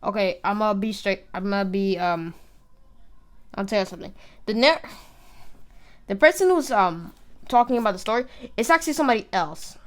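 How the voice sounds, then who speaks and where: A woman's voice: medium pitch, moderate pace, pauses often. A woman hosting a reaction video, talking in a casual, blunt, joking way.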